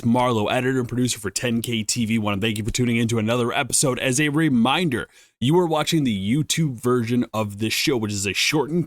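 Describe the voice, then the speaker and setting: A man reads a scripted intro in a brisk, upbeat way, recorded close and dry. He sounds like a producer welcoming listeners. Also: medium pitch, no room sound. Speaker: editor and producer.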